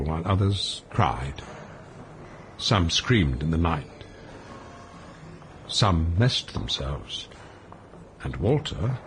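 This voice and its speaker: A man as the narrator of a radio play, telling a bleak life story in a deliberate, matter-of-fact, sober way. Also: low voice, slight background noise. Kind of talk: scripted radio drama narration.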